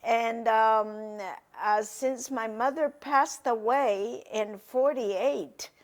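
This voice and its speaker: An older woman whose first language is Polish, recalling her past slowly and hesitantly in an oral history interview. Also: fairly high pitch. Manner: slow, hesitant, reflective, many pauses and fillers. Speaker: interviewee, testimony witness. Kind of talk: recorded oral history testimony.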